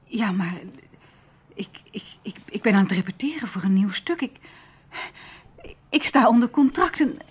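A breathy, husky woman, anxious and intense. She hesitates and repeats herself, yet sounds determined, in the manner of radio drama. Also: medium pitch, lively intonation. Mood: flustered, hesitant. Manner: hesitant, halting, intense delivery. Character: anxious, determined actress searching for her missing brother. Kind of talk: Dutch radio play acting.

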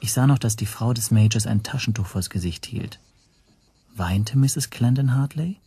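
An actor playing an anxious man who narrates his own story in a radio drama, measured and introspective. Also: mid-range voice, dark tone, breathy edge. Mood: wry, tense. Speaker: first-person narrator.

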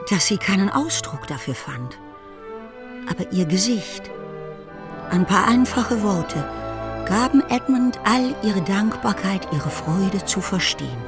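A woman's voice: medium pitch, natural voice. A woman narrating a period novel for a radio play in a scripted, literary storytelling style.

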